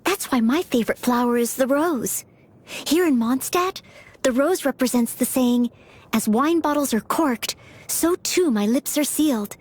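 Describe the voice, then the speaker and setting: A woman's voice: high voice, animated, unhurried, no room sound. A woman voicing an earnest, polite teenage maid and aspiring knight in a video game, eager to please. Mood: earnest, confiding. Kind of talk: video game character voice lines. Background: background music.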